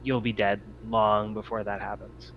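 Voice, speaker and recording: Man acting stranded spaceship survivor, tabletop game, low pitch, hesitant explanations, grim helpfulness, faint background noise. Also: little pitch movement, moderate pace, phone-quality sound, close to an everyday voice.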